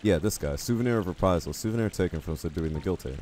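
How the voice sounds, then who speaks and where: A man's voice: low voice, animated, fast, darkish tone, a little hiss or hum. A man from the United States chatting casually with viewers while he plays a game, rambling and poking fun at himself. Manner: rambling, self-deprecating, reads chat aloud. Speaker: streamer.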